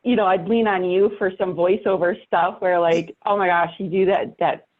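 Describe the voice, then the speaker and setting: A woman's voice: on the low side, fairly even intonation, normal speed. A woman talking casually and emphatically as a podcast guest, in colloquial conversational style. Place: home workspace studio with sound panels. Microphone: microphone with preamp, over online call. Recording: thin phone-like online call audio.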